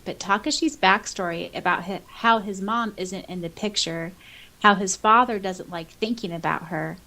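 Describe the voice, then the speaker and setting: A woman's voice: medium pitch, gravelly, pushed and bright, low-quality recording. A woman podcast co-host with a mid-range voice. She talks casually, in a gushing, enthusiastic manner, as she reacts to what listeners have written. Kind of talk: casual chat, reading and reacting to listener comments.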